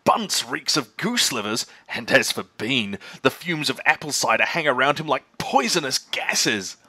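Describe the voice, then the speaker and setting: A clever, cocky father fox, warm toward his mate and sure of himself, as a male storyteller puts him on. The voice is bright and dry, lightly lifted and charming. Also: medium pitch, sing-song, measured pace, husky, no echo, heavily acted. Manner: confident, affectionate.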